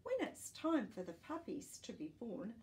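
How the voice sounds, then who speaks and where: A woman in her sixties reading a children's picture book aloud in an expressive, teacherly way, as if to young listeners. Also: a little high, normal speed. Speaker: children's storytime reader. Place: small furnished living room, indoors.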